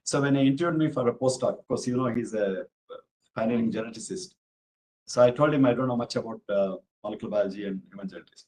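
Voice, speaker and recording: A man's voice: mid-range voice, fast talker, a little mellow, slight background noise, poor audio. A man from India answering questions after a lecture, explaining each step with many "you know" and "uh" asides. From Bangalore, India. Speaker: invited speaker, genomics professor. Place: office hall with an audience.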